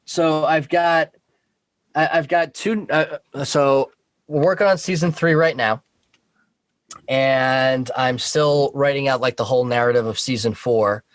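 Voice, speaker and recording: A man's voice: mid-range voice, no echo. Casual male guest, dry close sound. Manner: informal, halting, thinking aloud. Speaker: guest, audio horror series creator. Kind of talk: casual interview talk.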